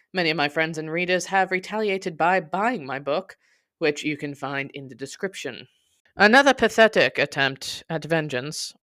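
Theatrical, sardonic narrator with a crisp, dry sound. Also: mid-range voice, fairly bright tone, dry recording. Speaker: podcast host and narrator. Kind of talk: dramatic scripted storytelling, reading a blog.

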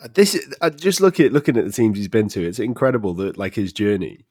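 Easygoing low-voiced man co-hosting. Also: lively intonation, fast, warm tone, breathy edge, no room sound, clean studio sound. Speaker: podcast co-host. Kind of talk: casual chat.

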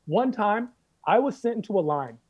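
An American man telling comic stories in casual conversation, animated and emphatic, repeating phrases for effect. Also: high voice, moderate pace, breathy edge. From United States. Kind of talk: comedic storytelling in casual chat.

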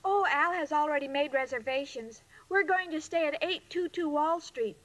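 Very high-pitched, sweetly dim young woman. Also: normal speed, a bit bright. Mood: naive, earnest. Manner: naive, earnest, literal-minded. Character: sweet, dim-witted, lovestruck young blonde-type woman. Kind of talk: 1940s radio comedy acting. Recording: very noisy vintage radio recording.

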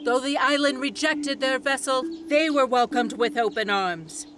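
A performer playing an old, wise village orator who tells a sacred myth in a measured, solemn, ceremonial way for an audio drama. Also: high voice, over loud music, natural voice. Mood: solemn, reverent.

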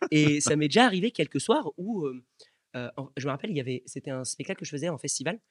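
Quick-talking bilingual man hosting a podcast. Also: fairly high pitch, animated, husky, no room sound, clean studio sound. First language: bilingual, lived long in Australia. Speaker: podcast host. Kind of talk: casual interview.

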